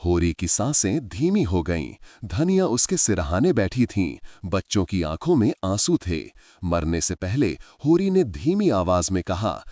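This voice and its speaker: A man's voice: low-pitched, darkish tone, dry recording, studio quality. A man telling a story with feeling, speaking to his listeners as friends. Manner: emotive, addresses listeners as friends. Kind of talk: dramatic storytelling narration.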